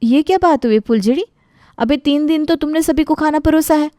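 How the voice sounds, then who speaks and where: Woman as young housewife, high-pitched, exasperated, practical, family story dialogue, dry close sound. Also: moderate pace, dark tone, no echo, natural voice. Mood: protesting.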